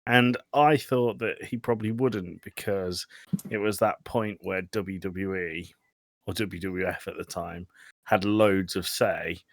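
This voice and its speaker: A man's voice: sits low, steady tone, a little slow, no room sound. A man hosting a casual film podcast, joking and teasing with frequent swearing. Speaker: podcast host. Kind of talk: casual comedic chat about films.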